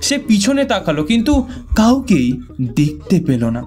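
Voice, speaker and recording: A man narrating a scripted ghost story in a suspenseful, deliberate way with dramatic pauses. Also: high-pitched, very animated, darkish tone. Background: background music.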